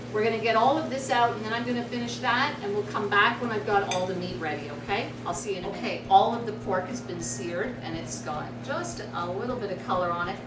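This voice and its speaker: Chatty woman cooking in a noisy, roomy kitchen. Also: mid-range voice. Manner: chatty, instructive, often checks in with "okay?". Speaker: cooking show host. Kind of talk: casual cooking demonstration. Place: indoor kitchen. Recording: roomy, distant mic, loud sizzling noise. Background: food frying and sizzling.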